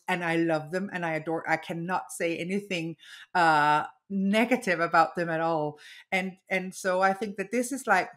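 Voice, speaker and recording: Laughing Danish woman, slightly low voice. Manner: warm, laughs often, repeats words. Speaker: therapist and author, guest. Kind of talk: casual interview talk.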